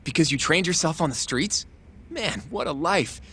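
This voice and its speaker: A friendly, admiring younger man from a video game. He speaks in a fairly high male voice, chatty and informal, with a little noise in the background. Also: animated, normal speed, pushed and bright. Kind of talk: English video game dub acting.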